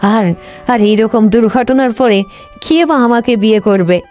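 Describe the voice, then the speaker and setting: A woman's voice: fairly high pitch, a little slow, darkish tone, dull audio, soft background music, close to an everyday voice. A woman playing a sorrowful, anxious young bride in a cartoon story, plaintive and pleading. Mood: despairing.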